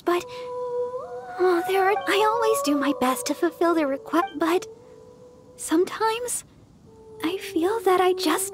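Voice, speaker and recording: Very high-pitched, bashful young deaconess. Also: female voice. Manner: polite, hesitant, gentle. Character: sweet, earnest, bashful young woman, church deaconess idol. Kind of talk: video game character dialogue.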